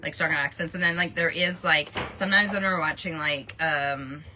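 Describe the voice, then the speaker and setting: A woman's voice: sits low, moderate pace, background noise. A Canadian woman with a Canadian accent, co-hosting a podcast and chatting in a rambling way full of fillers. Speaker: podcast co-host. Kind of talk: casual chat.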